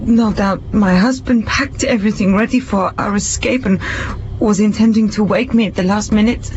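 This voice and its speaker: Muffled, theatrical scheming adventuress played by an actress. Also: female voice, medium pitch, fairly even intonation, normal speed, a little mellow, a little hiss or hum, natural voice. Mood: wounded innocence. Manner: theatrical, self-justifying. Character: cunning pregnant adventuress, feigning wronged innocence, venomous when crossed. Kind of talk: audio drama acting.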